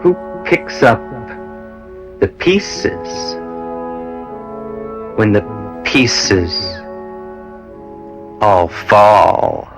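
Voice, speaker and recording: A man performing poetry in deliberate, theatrical short phrases. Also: lowish voice, sing-song, vocal fry. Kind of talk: performed poetry recital over music. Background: music.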